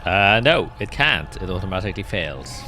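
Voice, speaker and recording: A man's voice: deep voice, sing-song, normal speed, sharp tone, slight background noise. A man speaking casually and colloquially as the game master of a tabletop roleplaying game, narrating the action. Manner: colloquial, swearing. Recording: music playing behind the voice. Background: game background music.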